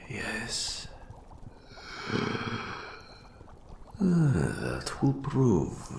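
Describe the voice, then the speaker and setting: An ancient eldritch specter speaks in an extremely low, creaking voice that often sinks into whispers. He is a scholarly fantasy mentor with formal, archaic phrasing, drawn out very slowly, in an echoing space. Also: heavily acted. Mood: grave, measured. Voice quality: whispery, gravelly, breathy rasping gasps. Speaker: mentor to an apprentice. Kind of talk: scripted fantasy audio roleplay monologue.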